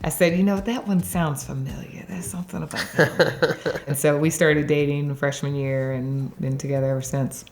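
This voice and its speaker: A woman's voice: sits low, fairly even intonation, normal speed, darkish tone. A woman in her forties from Texas, sharing her faith story in a chatty way, laughing often and using lots of fillers. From Dallas area, Texas. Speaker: interviewee. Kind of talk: personal faith testimony.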